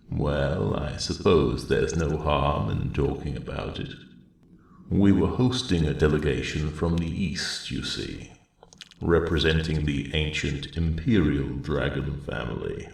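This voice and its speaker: An extremely deep, heavily gravelled old male dragon, speaks softly as in an ASMR fantasy roleplay. He is courteous and kindly, taking his time over formal, thoughtful phrases, with a roomy echo around the voice. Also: heavily acted. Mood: gentle, apologetic. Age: older. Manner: measured, hesitant, formal old-fashioned phrasing. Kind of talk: ASMR roleplay, soft intimate storytelling.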